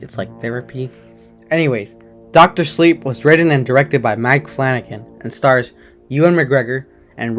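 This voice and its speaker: A man with a slightly hoarse voice and occasional voice cracks, giving a chatty, rambling movie review at home. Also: medium pitch. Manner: chatty, rambling, enthusiastic opinions. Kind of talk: casual non-spoiler movie review.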